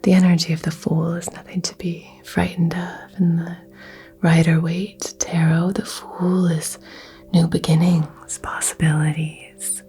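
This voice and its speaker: Southern-raised woman, gentle ASMR whisper, flat low delivery, faint music underneath. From American South. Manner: soft, slow, soothing whisper. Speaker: ASMR creator doing a crystal healing.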